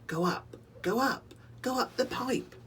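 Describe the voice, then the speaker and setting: A man voicing a kind, encouraging male storybook friend who gently urges and repeats himself. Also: very high-pitched, animated, a little slow, slight background noise, pitched above the performer's own voice, full character voice. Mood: coaxing. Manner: gently urging, repeating words.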